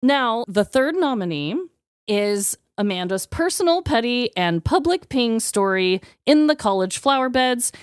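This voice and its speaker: A woman with a high, animated voice co-hosts a podcast. She talks casually and expressively, with irreverent comic banter. The sound is close and dry. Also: measured pace, clean tone, no echo. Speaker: podcast host. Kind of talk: casual comedic banter, presenting joke awards.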